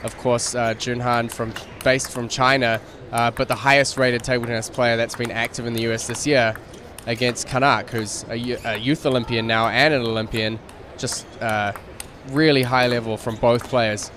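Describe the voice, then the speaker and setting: A man in his thirties from New Zealand, a media director giving a relaxed live recap at a tournament studio desk on a handheld mic, with many fillers. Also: medium pitch, normal speed, slight background noise. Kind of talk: live sports show analysis. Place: studio set at a convention center.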